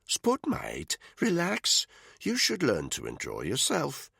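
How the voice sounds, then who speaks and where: A man's voice: medium pitch, huge pitch swings, takes it slowly, gravelly, a bit bright, husky, no room sound, clean studio sound, pitched above the performer's own voice, full character voice. A man voicing Tony, a sneering, bullying male unit commander who talks crudely. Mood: mocking.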